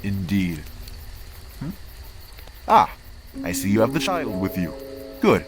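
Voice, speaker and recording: A man's voice: fairly low pitch, very animated, slow pace, music in the background, rough recording. A man playing a cold, disdainful council envoy, speaking formally and condescendingly in a comic dub. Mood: haughty. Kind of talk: amateur comic dub acting.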